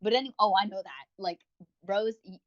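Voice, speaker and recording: A man's voice: high-pitched, moderate pace. Chatty, rambling podcast co-host, dull lo-fi man. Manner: gushing, rambling, self-mocking, swears casually. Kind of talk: casual chat, reviewing audio roleplay series.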